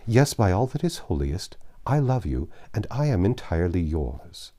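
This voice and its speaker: A man voicing a calm, impassive, formal gentleman in an audiobook of a classic novel, polite and restrained. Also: low voice, moderate pace. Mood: earnest.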